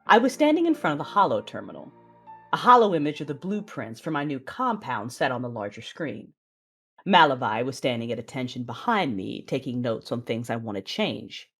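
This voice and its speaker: A woman narrating an audio drama in the first person, with a deliberate, theatrical delivery. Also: a bass voice, big pitch swings, a bit bright, breathy edge, soft background music.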